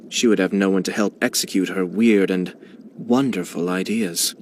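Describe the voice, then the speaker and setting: A man's voice: fairly low pitch, a little hiss or hum, close to an everyday voice. An actor playing a composed young nobleman who heads his clan, speaking in a polished, courteous way with dry amusement. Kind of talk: video game character voicelines.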